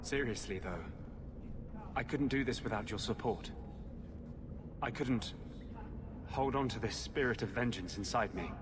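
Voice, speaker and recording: An actor playing an earnest, brooding rebel mage in a video game, speaking with impassioned intensity. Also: male voice, mid-range voice, unhurried, pauses often, lightly acted. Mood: confiding. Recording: clear ambient noise behind voice.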